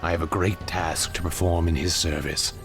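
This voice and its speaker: An actor playing a smug, menacing demon-elf villain in a fantasy video game, taunting and theatrical. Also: male voice, deep voice, level tone, moderate pace, creaky, soft background music. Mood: cold resolve.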